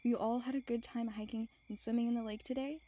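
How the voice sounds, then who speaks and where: A woman playing a grumpy, teasing young woman on a camping trip in an intimate audio roleplay, breathy and sarcastic. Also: on the high side, steady tone, fast, warm tone, no room sound, lightly acted. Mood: annoyed, sarcastic.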